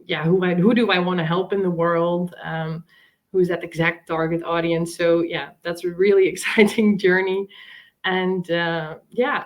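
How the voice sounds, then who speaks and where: A middle-aged woman with a Dutch accent, a native Dutch speaker, telling her story casually as an interview guest. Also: lowish voice. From Netherlands. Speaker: interview guest, venture capital coach and founder.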